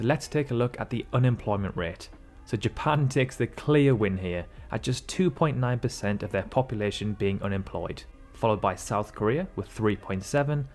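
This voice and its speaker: A man narrating a scripted country comparison in an upbeat, emphatic way. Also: mid-range voice. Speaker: video narrator. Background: faint background music.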